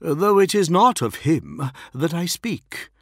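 A man voicing a foppish, deferential imperial envoy in an audiobook, careful and uneasy, with smooth formal phrasing. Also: a little high, sing-song, takes it slowly, no room sound, studio quality, pitched above the performer's own voice, heavily acted. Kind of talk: dramatic audiobook character voice.

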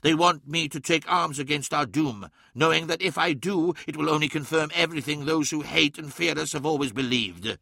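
A man's voice: on the high side, creaky, pushed and bright, dry recording, clean studio sound, voice pushed up, exaggerated voice. A man voicing a grave, remorseful sorcerer lord. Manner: grave, measured and solemn. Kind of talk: audiobook character voice.

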